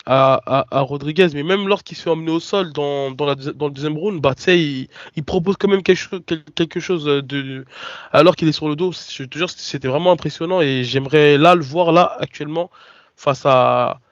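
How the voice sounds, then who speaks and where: A French man on a podcast talks in halting bursts and circles back on his words. His voice sits a little high. The sound is dry, close and lo-fi. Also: no echo. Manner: hesitant, many restarts and fillers. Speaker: podcast co-host. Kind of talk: casual podcast debate.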